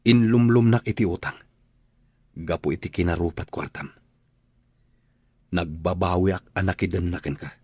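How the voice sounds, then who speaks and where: Low, husky, bitter husband. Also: male voice, moderate pace, long pauses, a little mellow, no room sound. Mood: angry, bitter. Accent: Ilocano. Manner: accusing, blunt. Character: bitter, stern husband throwing out his estranged wife. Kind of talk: Ilocano radio drama acting.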